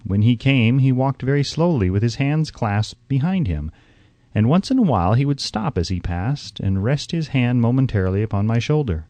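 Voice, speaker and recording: A man reading an audiobook in a measured, deliberate storytelling manner. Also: medium pitch, lively intonation, warm tone, no echo. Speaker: audiobook narrator. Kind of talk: scripted audiobook narration.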